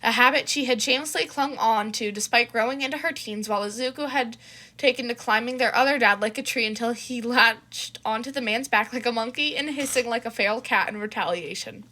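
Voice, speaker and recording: A woman's voice: a little high, brisk, sharp tone. A woman narrating scripted fan fiction as the host of her own reading channel. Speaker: podfic reader and channel host.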